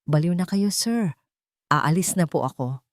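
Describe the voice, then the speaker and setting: A woman's voice: fairly low pitch, lively intonation, measured pace, no echo, clean studio sound. A woman voicing a desperate young working woman, polite and pleading, in a romance story. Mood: wary, shaken.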